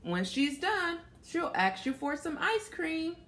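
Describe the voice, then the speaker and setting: Young woman, children's story reader, very lively intonation, unhurried pace, questions for kids, faint background noise. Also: very high-pitched. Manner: asks young listeners questions while reading. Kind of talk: reading a picture book aloud to children. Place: small plain room indoors.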